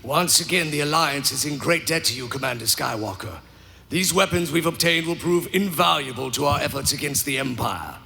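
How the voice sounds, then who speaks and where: An actor playing a formal, fawning alien rebel conspirator in a live audio drama, pompous and precise. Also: male voice, a little high, animated, a little fast, gravelly, bright, forceful tone, faint music behind, heavily acted. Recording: live stage sound with background noise.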